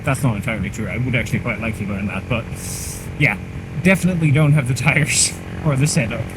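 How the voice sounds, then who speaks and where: A man's voice: mid-range voice, fairly quick. Man vlogging from a motorbike helmet. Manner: chatty, rambling, self-mocking. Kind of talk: casual running commentary while riding. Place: on a motorcycle on a country road outdoors. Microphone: helmet mic. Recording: helmet mic with clear engine noise. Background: engine and wind noise.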